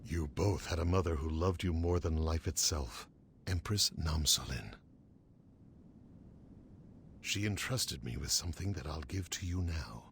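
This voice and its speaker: Deep, gravelly, solemn captain. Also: male voice, a little hiss or hum, exaggerated voice. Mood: solemn, earnest. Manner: solemn, earnest, measured. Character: grave, devoted older military captain and foster father. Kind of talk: fantasy game cutscene acting.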